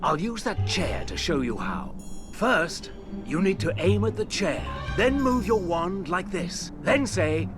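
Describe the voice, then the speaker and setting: An actor playing a patient, encouraging wizard tutor who coaches step by step in a video game. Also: male voice, high voice, very animated, a little slow, music in the background. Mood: patient instruction. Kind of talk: instructional video game dialogue.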